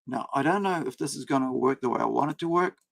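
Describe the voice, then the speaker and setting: A man's voice: mid-range voice, fast, vocal fry. A man born in India whose first language was Hindi, chatting casually in a rambling way with many fillers and restarts on a live stream from his home screen setup. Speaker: comic creator and streamer. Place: home room with several screens.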